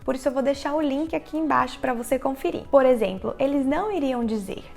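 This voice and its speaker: A woman with a Brazilian Portuguese accent explaining English listening tips directly to the viewer in a clear, instructional vlog narration. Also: high-pitched, fast, soft background music. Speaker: English teacher, channel host. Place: living in the United States.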